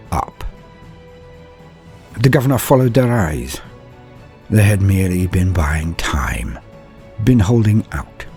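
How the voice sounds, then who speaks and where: A man's voice: low voice, darkish tone. A man reading scripted stories as a narrator, with a solemn, theatrical, dramatic delivery. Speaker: narrator of fan fiction war tales. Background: music.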